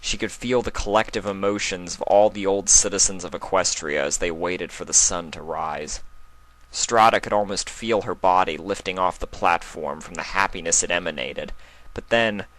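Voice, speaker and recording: A man's voice: low-pitched, moderate pace, fairly bright tone, rough recording. A man narrating a scripted story in a dramatic reading style. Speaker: narrator, fan fiction reader.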